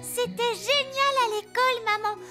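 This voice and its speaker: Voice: extremely high pitch, steady tone, normal speed. A performer in a French cartoon dub playing a cheerful, eager little fairy princess. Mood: excited. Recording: prominent children's music behind the voice.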